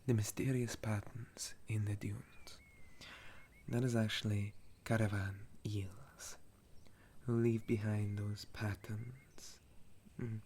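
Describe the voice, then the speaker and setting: A man voicing a gentle, centuries-old sun elf and retired soldier in an intimate ASMR roleplay, soft and breathy, often slipping into a whisper, with a hesitant storytelling manner. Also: fairly low pitch, level tone, a little hiss or hum. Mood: relaxed, musing. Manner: slow, hesitant, softly chuckling storyteller.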